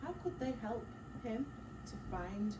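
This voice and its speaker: A woman's voice: a little high, unhurried. A woman teacher reading a picture book aloud to children, expressively, breaking off for encouraging questions and asides. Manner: expressive, dramatic gasps, encouraging prompts. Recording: roomy, distant mic, clear background noise.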